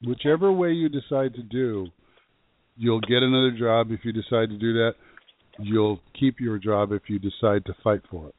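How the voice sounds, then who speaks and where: A man's voice: mid-range voice, moderate pace, a little hiss or hum. A man co-hosting a call-in radio show as a psychic medium, giving blunt, direct readings to callers and stumbling over his words as he starts. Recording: tinny phone-line sound.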